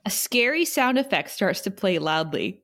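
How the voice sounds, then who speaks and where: A woman's voice: medium pitch, sing-song, normal speed, a bit bright. A woman narrator reading the stage directions of a script at an informal table read.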